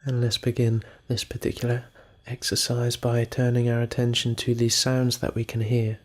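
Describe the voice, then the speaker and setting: Evenly pitched man guiding meditation. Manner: calm, measured, with unhurried pauses. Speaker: meditation guide. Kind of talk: guided meditation narration.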